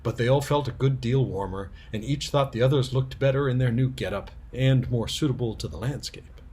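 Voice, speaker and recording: A man's voice: medium pitch, fairly quick, a little mellow, slightly rough. A man narrating a storybook expressively as a storyteller.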